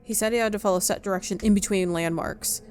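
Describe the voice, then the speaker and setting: A woman giving casual gameplay commentary, thinking aloud in a halting, hesitant way. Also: medium pitch, fairly even intonation, a little mellow, faint music behind. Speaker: game player.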